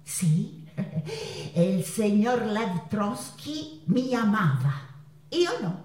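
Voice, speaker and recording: An actress playing Frida Kahlo, a passionate woman painter confiding about her lovers in an intimate, halting theatrical monologue with playful teasing. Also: medium pitch, sing-song, darkish tone. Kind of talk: theatrical stage monologue with dramatic pauses. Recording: echoey room, somewhat far from mic.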